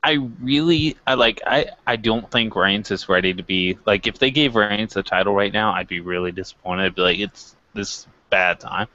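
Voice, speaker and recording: A man's voice: on the low side, moderate pace, hardly any pauses, sharp tone, dull audio. A man co-hosting a wrestling review show, chatting casually with a wry, joking manner. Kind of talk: casual chat.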